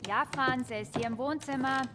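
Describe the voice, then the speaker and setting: An actress playing an obliging housewife and mother in a spy game, in an echoey room with loud noise. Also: sits high, a little fast, close to an everyday voice. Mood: domestic, polite.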